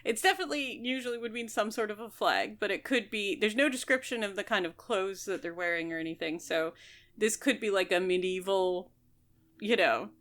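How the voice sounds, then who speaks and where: A woman's voice: medium pitch, brisk, fairly bright tone. A woman co-hosting a podcast, chatting casually as she talks through a book. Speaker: podcast co-host.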